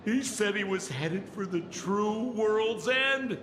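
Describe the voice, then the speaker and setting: High-pitched, theatrical old gossip. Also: male voice, slow pace, a bit bright, a little hiss or hum. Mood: sly, storytelling. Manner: theatrical, teasing storyteller with dramatic exclamations. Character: excitable, theatrical old tavern gossip and wily informant. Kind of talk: animated video game acting. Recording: echoey, reverberant, over music.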